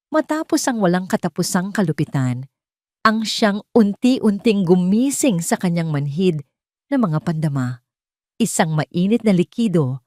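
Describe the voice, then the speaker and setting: A woman with a Filipino accent narrating a dramatic story in a solemn, emotive, deliberate way. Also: on the low side, darkish tone, no echo, studio quality.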